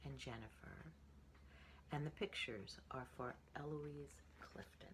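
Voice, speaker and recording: A woman in her sixties reading a picture book aloud to children in an expressive, sing-song rhythm. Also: extremely low pitch, a little slow, a little hiss or hum. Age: 60s. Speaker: storytime reader.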